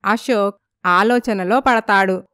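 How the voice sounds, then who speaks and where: A woman's voice: mid-range voice, slow, a bit bright, clear, resonant tone, dry recording. A woman narrating a scripted moral story.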